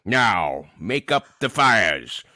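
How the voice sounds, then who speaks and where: A man plays an old miser turned playful in a radio drama. His voice is bright and forceful, swooping widely as he teases with theatrical relish. He takes his time over the words. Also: fairly low pitch, very animated, drawn-out words, dry recording, full character voice. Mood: gleeful, merry. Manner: theatrical, teasing, chuckling. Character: reformed old miser, gleeful, mock-stern then merry. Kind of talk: stage-style radio play acting.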